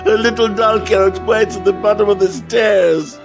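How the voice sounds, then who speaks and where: An actor playing a tormented amnesiac composer in an asylum in a radio drama, halting and theatrical. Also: male voice, high-pitched, steady tone, over music. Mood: grief-stricken hysteria. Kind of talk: old-time radio drama acting.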